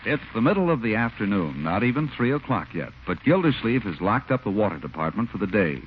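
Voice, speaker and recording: A man's voice: fairly low pitch, very animated, fairly quick. A man with an American accent, a polished, theatrical announcer narrating in scripted 1940s radio style. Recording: muffled 1940s radio with music. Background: music.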